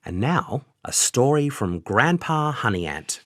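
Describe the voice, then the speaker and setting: A man's voice: mid-range voice, sing-song, unhurried, a bit bright. A man introducing children's stories as a programme announcer in a scripted style.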